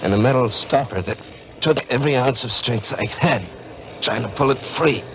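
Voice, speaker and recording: A man's voice: mid-range voice, moderate pace, close to an everyday voice. An actor playing an earnest, anguished young fisherman with an American accent in a 1940s radio melodrama. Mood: brooding, reminiscing. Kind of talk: 1940s radio drama acting. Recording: noisy, muffled 1940s radio recording.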